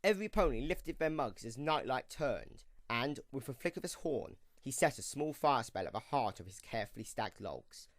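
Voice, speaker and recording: A man narrating a scripted story for an audio drama in an expressive storytelling manner. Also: medium pitch, moderate pace, few pauses, no room sound, clean studio sound.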